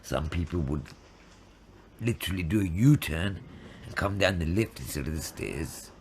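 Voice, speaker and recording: A man in his fifties giving a halting, reflective personal testimony. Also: deep voice, lively intonation, slight background noise. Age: 50s. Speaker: interviewee telling own story of addiction and homelessness.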